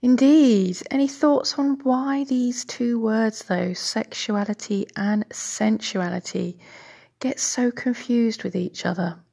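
A woman hosts a podcast, reading her introduction in a measured, breathy manner that sometimes drops almost to a whisper. Her voice has a husky, raspy edge with a gravelly fry underneath. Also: fairly high pitch, mellow. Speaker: podcast host. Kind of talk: scripted podcast introduction and moderation.

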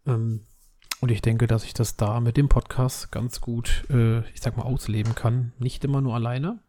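A man's voice: medium pitch, moderate pace, slight background noise. A man, chatting casually and rambling on a solo podcast while he has a cold. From Berlin, Germany. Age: 30s. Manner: relaxed, rambling, many fillers and restarts. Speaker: podcast host.

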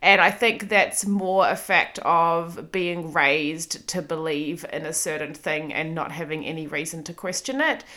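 A woman's voice: low voice, unhurried, few pauses, bright, forceful tone. A woman in her thirties with a New Zealand accent, hosting a solo podcast episode in a chatty, self-deprecating way with frequent ums and small laughs. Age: 30s. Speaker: podcast host.

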